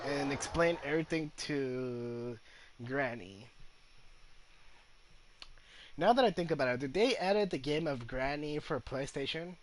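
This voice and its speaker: A man giving casual gameplay commentary, rambling hesitantly and sounding amused. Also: medium pitch. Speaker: gamer, video host.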